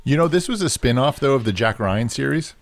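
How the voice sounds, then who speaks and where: Animated man in a rambling casual chat. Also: mid-range voice, a little fast. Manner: animated, rambling, laughs easily.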